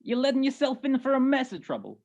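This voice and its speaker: A woman's voice: sits high, huge pitch swings, quick pace, a little mellow, heavily acted. A woman playing an alarmed friend in a radio crime drama, urgent and imploring, in slangy period lines. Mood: urgent, pleading. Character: alarmed friend pleading with an armed man. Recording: dull lo-fi video-call audio.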